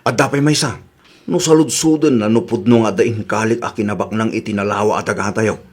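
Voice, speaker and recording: An actor playing a boastful, scheming young suitor in a comedy radio drama. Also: male voice, fairly low pitch, fairly even intonation, a little fast, natural voice. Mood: insistent. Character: boastful, scheming young suitor lying about his job.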